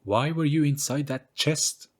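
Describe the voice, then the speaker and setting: A man's voice: fairly high pitch, measured pace, pitched above the performer's own voice. A man voicing a blunt, skeptical young woman with a dry, unimpressed manner in an audio story.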